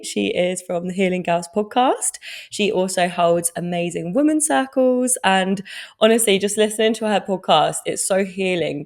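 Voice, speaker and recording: A woman's voice: medium pitch, darkish tone. Bubbly woman hosting a podcast. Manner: bubbly and gushing, lots of praise and laughter. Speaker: podcast host.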